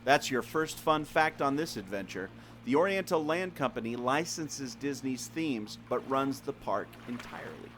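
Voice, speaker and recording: A man's voice: medium pitch, lively intonation, moderate pace, fairly bright tone, a little hiss or hum, close to an everyday voice. A man acting an earnest, knowledgeable explorer in a scripted audio adventure, with a theatrical, explanatory delivery. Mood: informative. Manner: expository, theatrical. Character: earnest male explorer, knowledgeable enthusiast of secret lore.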